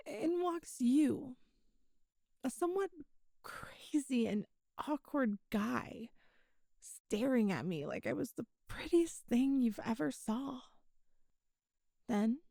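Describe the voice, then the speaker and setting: A woman acts as an immortal demon goddess in an ASMR roleplay, her high voice mellow and close, with almost no room around it. She speaks tenderly and wistfully, halting softly between phrases like a lover confiding. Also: vocal fry, no echo, heavily acted. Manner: slow, halting, intimate, wistful. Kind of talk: intimate ASMR audio roleplay.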